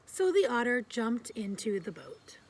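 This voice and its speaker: A woman reading a children's picture book aloud in a gentle, expressive storytelling style. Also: a little high, unhurried.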